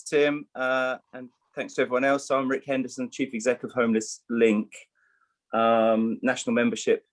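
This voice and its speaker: A man, a charity chief executive, introducing himself on a panel in a relaxed, conversational way. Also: fairly low pitch.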